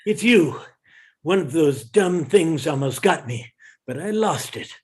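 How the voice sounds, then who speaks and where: A man playing a melodramatic, smug yet cowardly cartoon animal in a theatrical, drawn-out way at a comedy table read. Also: on the high side, lively intonation, heavy background noise. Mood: scornful.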